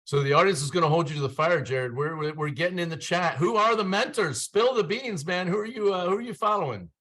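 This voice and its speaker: A man from Ohio hosting a live online panel over a video call, upbeat, often cutting in with quick questions. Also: sits high, sharp tone. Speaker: host.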